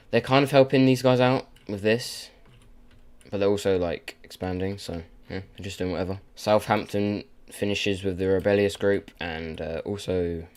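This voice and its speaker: Guy with a sore throat, real low voice, rambles a lot over a map vid, keyboard clacking behind. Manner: rambling, filler-heavy, loses his train of thought. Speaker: gaming map narrator. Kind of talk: casual voice-over commentary on a map video.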